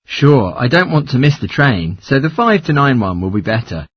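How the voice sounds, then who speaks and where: A man's voice: medium pitch, sing-song, moderate pace, husky, dry recording. A man playing a polite caller asking for travel information in a scripted language-test dialogue. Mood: polite, inquiring.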